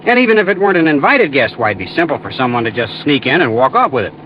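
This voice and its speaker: A man's voice: mid-range voice, very animated, rapid-fire, close to an everyday voice. An American man playing an evasive, boastful car dealer in classic radio drama, chummy and talky with hesitant phrasing. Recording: muffled, noisy vintage radio recording.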